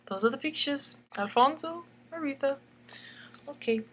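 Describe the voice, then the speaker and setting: A woman's voice: high voice, lively intonation, normal speed, fairly bright tone. A woman reading a bedtime story in a theatrical, tongue-in-cheek way, giggly and chatty, repeating phrases for emphasis. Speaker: storyteller and illustrator.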